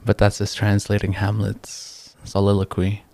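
Young man, from the Philippines, a bit low, relaxed and reflective, dry close sound. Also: gravelly, no echo. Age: young adult. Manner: relaxed and reflective, pausing mid-thought, laughing easily. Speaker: guest. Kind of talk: casual interview chat.

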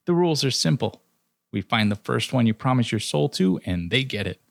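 A man's voice: medium pitch, sing-song, brisk, gravelly, no echo, clean studio sound, voice pushed up. A man voicing a peevish, foul-mouthed male trickster god who snaps at everyone. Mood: irritated. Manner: exasperated, snapping.

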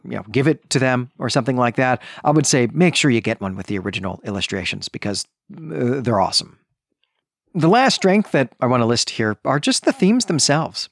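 An American man in middle age talks as a podcast host giving a book review. His pitch moves up and down with enthusiasm around an average register. The sound is dry, with no room on it, and his delivery is discursive and conversational. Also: dry recording. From suburb of Chicago, US Midwest. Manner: enthusiastic, discursive, often checks in with "right?".

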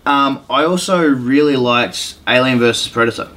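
A man's voice: mid-range voice, moderate pace, pushed and bright. A man chatting casually as a podcast co-host, informal, slangy and opinionated.

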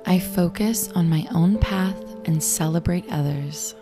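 Raspy low-voiced woman reading affirmations. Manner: slow, soothing affirmation reading. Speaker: affirmation and meditation host. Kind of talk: guided affirmations. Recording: clear music bed behind voice.

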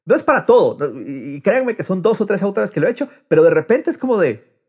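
Animated higher-pitched man, slightly husky. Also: quick pace. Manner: drops English phrases into Spanish. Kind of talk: casual conversational podcast talk.

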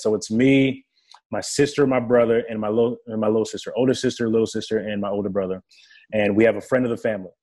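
A young man from Congo, French his first language, speaks in a fairly low voice. He recounts his past in an earnest, vivid way, as a guest on an interview show. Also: darkish tone. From Democratic Republic of Congo. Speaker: guest, player engagement staff for a football team. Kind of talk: casual interview storytelling.

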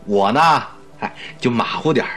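A man acting as an eager, excitable doctor in a radio play, the detective's talkative sidekick. His medium-pitched voice rises and falls broadly in theatrical exclamations, with music behind it. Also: normal speed, natural voice. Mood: excited, jovial. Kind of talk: Mandarin radio drama acting.

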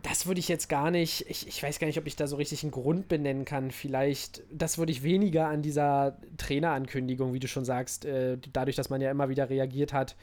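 A man's voice: mid-range voice, flat delivery, a little fast, few pauses, darkish tone. A man talking football casually, hesitating and restarting his sentences often.

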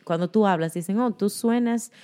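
A woman's voice: medium pitch, normal speed, dark tone, clean tone. Candid bilingual woman chatting. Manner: candid, laughs often, mixes in English words. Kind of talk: casual conversation.

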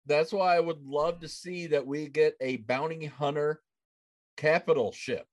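A man co-hosting a casual gaming podcast, speaking deliberately with pauses between his phrases. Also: a little high, fairly even intonation. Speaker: podcast co-host.

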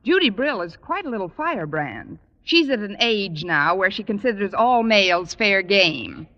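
A woman playing a wisecracking, scheming high school teacher, dry and crisp, in an old-time radio comedy. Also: very animated, moderate pace, sharp tone, muffled recording. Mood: wry. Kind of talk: 1940s-50s radio comedy acting. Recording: old radio broadcast, hiss, studio audience.

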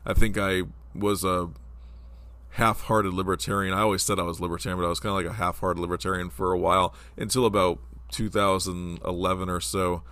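Deep-voiced man, stuffy with a cold. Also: little pitch movement, brisk, fairly bright tone, no echo. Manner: rambling, conversational, many fillers. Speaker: podcast host. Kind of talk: casual solo political podcast.

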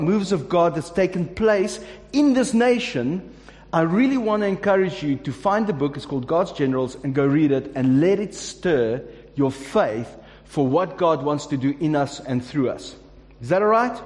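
A man with a high voice delivers a sermon to his congregation. He speaks casually and jokes, often restarting his sentences. His voice rings with the long reverb of a large hall. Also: darkish tone. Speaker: pastor. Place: church hall.

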